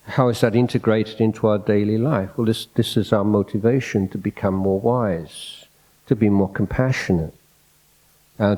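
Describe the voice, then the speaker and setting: A Buddhist monk gives a talk in a hall through a microphone. His voice is soft, low and mellow. He speaks reflectively, pausing on fillers and restarting his phrases as he thinks aloud. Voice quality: soft-spoken. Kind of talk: unscripted dhamma talk.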